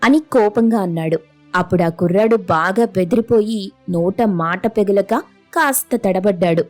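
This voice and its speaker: A woman's voice: medium pitch, measured pace, a little mellow, soft background music. A woman storyteller narrating a scripted moral tale.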